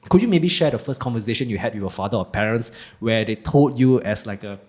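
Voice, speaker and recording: A man's voice: on the low side, quick pace, a little mellow. A man hosting a podcast interview, rambling and stringing many questions together. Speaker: podcast host. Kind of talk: casual interview chat.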